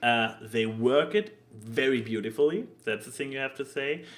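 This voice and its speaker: A man chatting casually as a podcast co-host, halting and stammering as he searches for words. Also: medium pitch, a bit bright. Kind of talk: casual podcast chat about anime.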